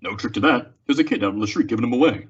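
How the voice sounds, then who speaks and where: An actor playing an excitable male townsman reading the news aloud in an old-time radio western. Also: mid-range voice, rapid-fire, slightly rough, lightly acted. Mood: eager, folksy. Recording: dull lo-fi audio.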